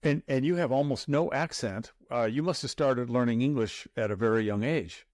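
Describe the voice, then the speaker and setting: A man hosting an interview show, reading a smooth introduction and asking questions with some hesitation. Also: mid-range voice, normal speed, darkish tone, dry recording, studio quality. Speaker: podcast host. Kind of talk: interview host with read intro.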